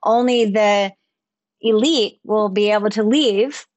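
A woman's voice: medium pitch, slow pace, dry recording. A woman speaking as a podcast guest in casual, reflective conversation.

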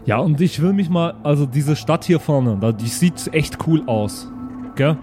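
A man's voice: a little high, measured pace, over music, natural voice. A man playing a curious, stubborn son who argues naively and colloquially with his papa in an improvised audio drama. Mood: curious, puzzled.

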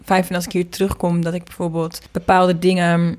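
A woman's voice: on the low side, little pitch movement, brisk, a little mellow. A woman chatting casually in an interview, with an informal, rambling way of talking. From Netherlands. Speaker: singer and producer being interviewed.

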